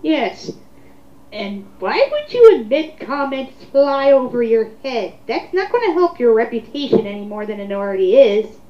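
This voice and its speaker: A woman's voice: a little high, very animated, normal speed. A woman co-hosting a casual commentary, reading online comments aloud and remarking on them. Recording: roomy, dull lo-fi home recording.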